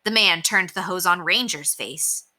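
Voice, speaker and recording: A woman, a teacher, reading a children's chapter book aloud with expressive storytelling. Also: lowish voice, a little slow. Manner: expressive storytelling with softer narration.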